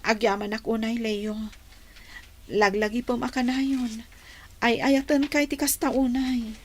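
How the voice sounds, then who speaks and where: A woman's voice: a little high, steady tone, a little slow. A woman playing a tender young woman in love in an Ilocano radio drama, with an Ilocano accent. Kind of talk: radio comedy-drama acting.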